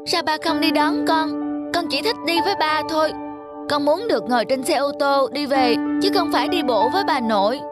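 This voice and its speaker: A woman's voice: high voice, expressive, fairly quick. A woman voicing a spoiled little schoolgirl in a children's cartoon, with a blunt, childish way of talking. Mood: sulky, petulant. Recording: loud music behind the voice. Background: loud children's music.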